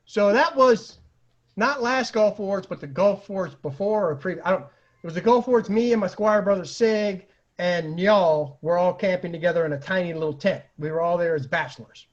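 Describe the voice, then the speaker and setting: High-pitched husky man telling funny stories. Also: moderate pace. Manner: animated, acts out quoted lines, laughs at own stories. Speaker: storyteller, online show host. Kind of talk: casual comic anecdote telling. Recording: dull lo-fi home recording.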